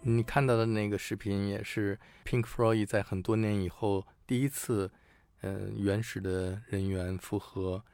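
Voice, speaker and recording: A man co-hosting a casual podcast, explaining things in a measured, conversational way. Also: lowish voice, no echo. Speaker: podcast co-host. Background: music behind the voice.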